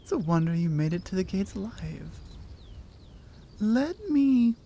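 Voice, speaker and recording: A woman's voice: medium pitch, big pitch swings, warm tone. A woman playing a strong, teasing Nordic warrior in an ASMR roleplay, with a Nordic accent and a dry, playful, skeptical manner. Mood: playful, candid. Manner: playful, dry, conversational with pauses. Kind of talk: ASMR roleplay audio drama.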